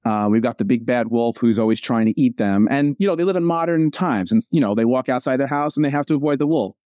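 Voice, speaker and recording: A man's voice: mid-range voice, animated, fast talker, warm tone, no echo, dull audio. A man chatting casually as an interview guest, rambling a bit and often correcting himself mid-sentence. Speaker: comic strip cartoonist, interview guest.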